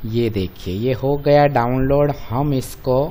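A man with an Indian accent presenting an informal step-by-step software tutorial, explaining to viewers as friends and mixing in English terms. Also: mid-range voice, slow. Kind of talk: step-by-step screen-recording walkthrough, informal.

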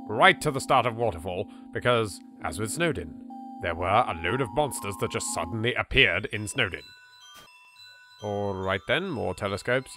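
Animated English man with a bright voice. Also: on the low side. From England. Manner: animated, reactive, politely chatty. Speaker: let's play host. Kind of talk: casual gameplay commentary. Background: game music.

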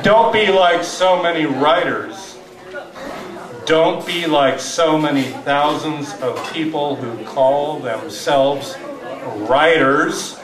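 An older man in his sixties gives a live poetry reading, with deliberate dramatic pauses and wry asides. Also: a little high, a crowd in the background. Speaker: poetry reader at an open mic. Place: small tavern stage indoors. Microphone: stand microphone, captured from far camera. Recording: roomy live tavern, distant mic, audience noise.

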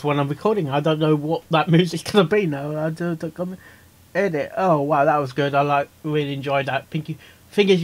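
A man hosting a casual reaction video, talking in a rambling, repetitive way. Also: a little high. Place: small cluttered room indoors.

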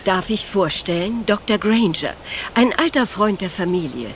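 A woman's voice: mid-range voice, lively intonation, normal speed, a little hiss or hum, close to an everyday voice. An actress playing a polite, protective lady's companion in a crime drama, with a prim manner. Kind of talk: dubbed crime drama acting.

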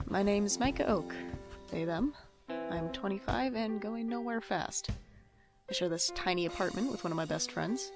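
A woman plays a young audio drama slacker with a creaky voice. The delivery is wry and self-deprecating, easily flustered, with music behind it. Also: medium pitch, lightly acted. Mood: wry, chatty. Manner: self-deprecating, dry asides, flustered when rushed. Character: wry, hapless, anxious twenty-five-year-old nonbinary slacker narrator. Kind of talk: audio drama narration and dialogue.

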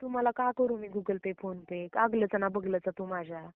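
A woman's voice: mid-range voice, moderate pace, no echo. A woman with a Maharashtrian Marathi accent, scolding emphatically and indignantly in a heated phone argument. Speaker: prank call recipient. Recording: narrow tinny phone-call recording.

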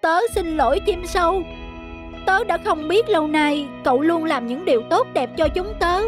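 A woman's very high cartoon voice a small leafy cabbage plant. The voice belongs to a children's animated tale, with music clearly playing behind it. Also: normal speed. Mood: apologetic. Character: talking leafy cabbage plants, lazy then pleading for help.

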